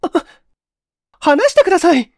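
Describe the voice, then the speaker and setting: A woman's voice: very high-pitched, very animated, unhurried, a little mellow, dry recording, studio quality, pitched above the performer's own voice, full character voice. A woman voicing an anxious young female suspect in a radio-style story, pleading with polite, hesitant, old-fashioned feminine phrasing. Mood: panicked.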